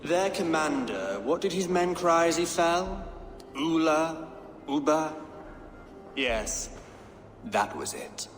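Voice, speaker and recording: An actor playing a cold, haughty Saxon king in a video game, speaking in a formal, measured, condescending way. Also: a little high, lively intonation. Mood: cold taunting. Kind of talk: dramatic video game acting. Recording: echoey hall, faint noise.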